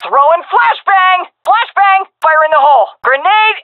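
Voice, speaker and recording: A woman's voice: extremely high pitch, lively intonation, a little slow, no room sound, heavily acted. An actress playing a tough, cocky, foul-mouthed female navy commando commander in a video game, brash and sardonic with clipped military delivery through a radio filter. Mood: urgent shouting. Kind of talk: game combat voice lines. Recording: narrow, phone-like radio comms.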